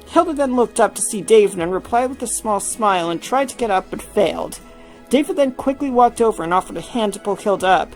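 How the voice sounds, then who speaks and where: A woman's voice: medium pitch, expressive, brisk, faint music behind, poor audio. A woman narrating a piece of fiction from a script, as a story reader.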